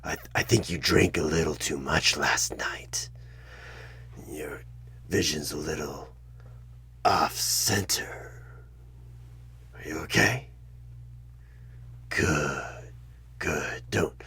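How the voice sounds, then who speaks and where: A sinister demon makes his offer in a horror ASMR roleplay. His voice is extremely low and crackles with heavy fry. He speaks slowly and haltingly in a breathy half-whisper, coaxing with a calm that feels menacing. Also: heavily acted. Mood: eerily soothing. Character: sinister demonic tempter, male, posing as job interviewer. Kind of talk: horror ASMR one-sided audio roleplay.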